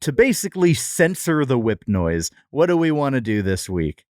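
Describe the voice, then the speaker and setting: Higher-voiced man hosting a casual podcast, dry sound. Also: big pitch swings, moderate pace, a little mellow, no room sound. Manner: conversational storytelling, joking. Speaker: podcast host. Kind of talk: casual comedic podcast chat.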